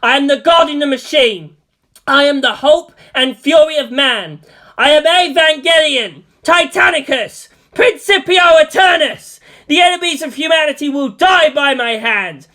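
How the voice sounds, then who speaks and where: A fan reader voicing a giant war machine that proclaims itself a god, in a booming, grandiose declamation. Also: lively intonation, a little slow, sharp tone, full character voice.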